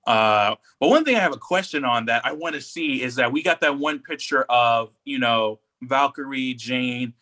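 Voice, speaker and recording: A man co-hosting a podcast in casual, slangy, opinionated and animated chat. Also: medium pitch, moderate pace, few pauses. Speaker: podcast co-host. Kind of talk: casual pop culture chat.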